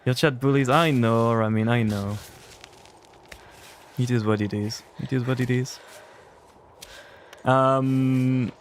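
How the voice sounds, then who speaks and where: A man's voice: medium pitch, fairly even intonation, takes it slowly, long pauses, slight background noise. A young man with a French accent, chatting casually with his chat while playing a game on a livestream. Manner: chatty, rambling, answers chat. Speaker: streamer.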